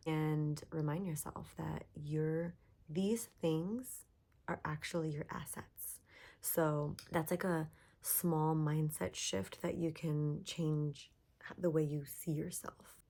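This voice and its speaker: Hoarse-voiced woman hosting a chatty podcast. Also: low-pitched, fairly even intonation, unhurried, warm tone. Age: young adult. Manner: chatty, upbeat, frequent likes and laughs. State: hoarse, voice partly lost. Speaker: podcast host. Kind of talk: casual self-help podcast monologue. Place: her bedroom at night.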